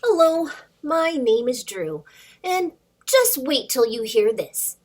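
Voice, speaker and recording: A woman's voice: high voice, big pitch swings, slow. A woman speaking simply and encouragingly to children as an informal story time reader and teacher. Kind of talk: informal talk and storybook read-aloud for children.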